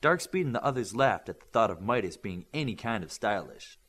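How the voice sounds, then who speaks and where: Man narrating a novel, lively pitch. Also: fairly quick. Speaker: audiobook narrator. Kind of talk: scripted narration of a novel, acting out the dialogue.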